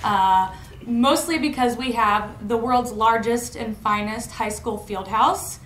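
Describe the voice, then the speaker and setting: A young woman from Indiana doing a stand-up comedy set, deadpan and self-mocking, often laughing at her own jokes, recorded by a camera out in a lecture hall. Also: fairly high pitch, slow. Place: college lecture hall stage. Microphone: far camera in the room. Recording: echoey hall, audible room noise.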